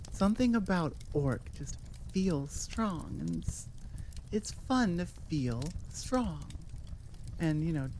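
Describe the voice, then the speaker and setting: Female audio creator, slightly low voice, informal rambling outro, enthusiastic and chatty, some background noise. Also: darkish tone. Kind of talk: casual rambling outro chat to listeners.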